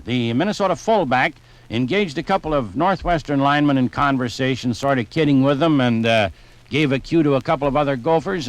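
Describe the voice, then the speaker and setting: A man playing a jovial sportsman in a 1950s radio drama, reminiscing in a conversational, animated way. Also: a little high, no room sound, lightly acted, low-quality recording. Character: jovial sportsman reminiscing about football on a fishing trip.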